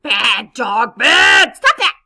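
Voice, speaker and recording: A woman's voice: on the high side, sing-song, slow pace, heavily acted. A woman voicing an exasperated male dog trainer in a storybook, scolding and repeating stern commands. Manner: forceful, repetitive scolding.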